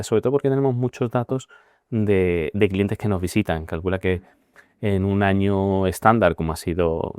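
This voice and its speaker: Deep-voiced reflective Spanish businessman. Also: darkish tone, dry recording, clean studio sound. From Spain. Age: 40s. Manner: measured, reflective, hesitant with many fillers. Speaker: guest, managing partner. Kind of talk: conversational interview.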